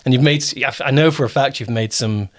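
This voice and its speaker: Male interviewer, mid-pitched voice, informal and chatty, circles back and corrects himself mid-sentence. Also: quick pace. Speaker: interviewer and producer. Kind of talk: casual interview chat.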